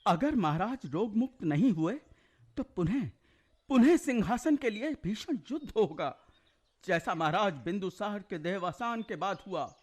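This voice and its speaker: An actor playing an authoritative but anxious royal chief minister in a Hindi historical radio drama, grave and formal. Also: male voice, high voice, animated, measured pace, darkish tone. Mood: urgent, anxious.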